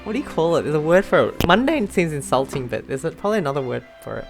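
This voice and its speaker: A woman's voice: sits low, sing-song, fast, gravelly. A woman giving casual, giggly commentary as she streams a game, with faint music behind her. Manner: giggly, informal. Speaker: gameplay streamer.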